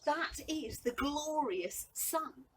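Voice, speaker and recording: A woman playing a bewildered, lovestruck young man in a Shakespearean monologue, speaking haltingly and with wonder. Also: high-pitched, animated, pitched above the performer's own voice. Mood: dazed wonder. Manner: halting, wondering, shifting from hushed to exclaiming. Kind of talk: Shakespearean stage monologue, verse acting. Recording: distant mic with light background noise.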